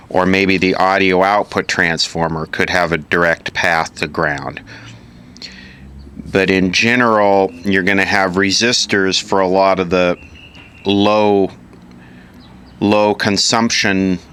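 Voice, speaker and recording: A man's voice: low voice, sharp tone, smooth. A man giving an informal hands-on repair tutorial, matter-of-fact and thinking aloud piece by piece as an instructor.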